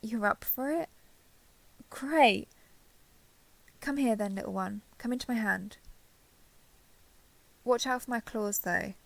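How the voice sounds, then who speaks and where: Woman playing a gentle, creaky-voiced giant dragon girl. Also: a little high, slow pace, long pauses, no room sound. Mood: tender, caring. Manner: gentle, reassuring, hesitant. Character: caring, gentle giant dragon girl, college student, slightly possessive. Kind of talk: roleplay audio acting, addressing the listener intimately.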